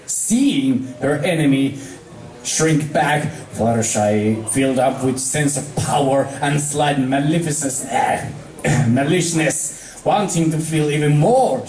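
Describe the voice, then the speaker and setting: A man reading a story aloud as an amateur narrator, halting and stumbling over words. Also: a little high, darkish tone. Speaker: amateur fan fiction reader. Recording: echoey room, distant mic.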